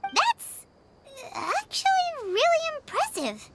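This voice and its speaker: A woman voicing a tiny, cheerful childlike fairy companion in an anime-style video game, with a squeaky, chatty delivery. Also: wildly swooping pitch, slow pace, heavy background noise, heavily acted. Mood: bright, curious.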